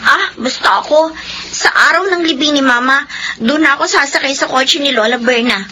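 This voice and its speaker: An actress playing a grieving, stubborn young daughter in a Filipino radio drama, plaintive and emphatic. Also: female voice, sits high, moderate pace, bright, forceful tone. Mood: stubborn, insistent. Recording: noisy radio drama ambience.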